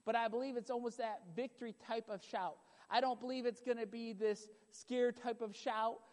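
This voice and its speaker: A man preaching a sermon with a loud voice, emphatic and repetitive, building in intensity. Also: sits high. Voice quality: loud voice at times. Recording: long hall reverb.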